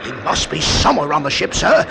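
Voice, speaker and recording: Panicky bosun, high crackly voice all over the place, urgent old radio drama. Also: male voice, sing-song, brisk, creaky, exaggerated voice. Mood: panicked, urgent. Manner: urgent, stammering. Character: alarmed, deferential ship's bosun reporting trouble. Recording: noisy old radio recording, ship ambience.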